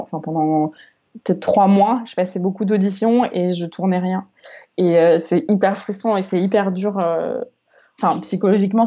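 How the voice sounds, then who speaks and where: Young woman, interview guest; halting, restart-prone delivery; warm low-energy timbre. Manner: hesitant, many fillers and restarts. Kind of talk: casual interview chat. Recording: dull lo-fi recording.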